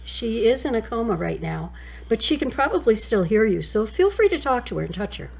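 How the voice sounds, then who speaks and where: A woman acting as a courteous hospital nurse in an audio drama. Her voice is a bit high, with plenty of creak and a husky grain. She sounds calm, helpful and matter-of-fact. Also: a little fast, heavily acted, low-quality recording. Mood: calm, businesslike. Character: calm, courteous female intensive care nurse.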